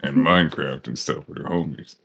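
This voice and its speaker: Very deep, gravelly young man. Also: steady tone, a bit bright, highs cut off. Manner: chatty, quick to react and laugh. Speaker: podcast co-host. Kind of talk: casual group chat.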